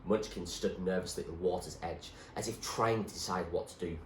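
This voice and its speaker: A man giving an expressive narration of a storybook, like a teacher reading aloud. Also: low voice, brisk. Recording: echoey room, faint background noise.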